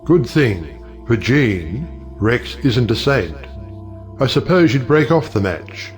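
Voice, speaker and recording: An actor playing a wry, worldly judge in an audio play, with a dry, sardonic way of talking. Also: male voice, medium pitch, very animated, slow, soft background music. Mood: dry, teasing. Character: wry, cynical male judge, worldly and teasing. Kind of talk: audio play acting of a 1910s stage comedy.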